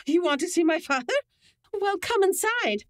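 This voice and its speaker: A woman acting an excitable, dramatic character in an old-time radio melodrama, exclamatory in delivery. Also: extremely high pitch, normal speed, no room sound, studio quality. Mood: bitter, distraught. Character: excitable, slangy crook or distraught daughter in radio melodrama.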